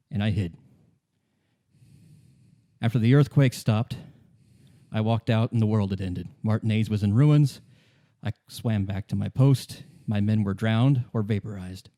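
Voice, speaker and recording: A man playing a bitter, weary old communist deserter in a radio play, a defiant former commissar who speaks haltingly and insistently. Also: on the low side, warm tone, natural voice. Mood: haunted, reminiscing. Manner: halting, repeating himself, grimly insistent.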